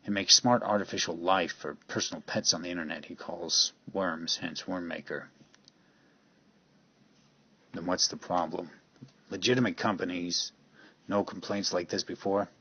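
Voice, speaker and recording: A man's voice: low voice, fairly even intonation, normal speed, long pauses, pushed and bright, slightly rough, dull audio, heavily acted. A man playing a weary, businesslike police official in a radio drama, speaking matter-of-factly. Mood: weary, sober.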